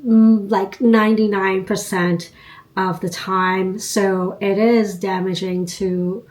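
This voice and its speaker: A woman's voice: medium pitch, steady tone, a little mellow, low-quality recording. A woman in her fifties getting over a cold, nasal and congested, chatting casually to her viewers about her routine. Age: 50s. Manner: unhurried, chatty, frequent ums and you knows. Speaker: beauty vlogger. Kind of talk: casual chat to camera.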